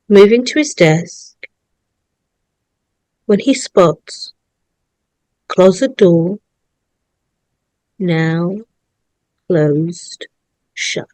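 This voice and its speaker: Female narrator for screenplay directions, medium pitch, unhurried and slow. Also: a little mellow, dry recording, poor audio. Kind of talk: reading screenplay stage directions aloud.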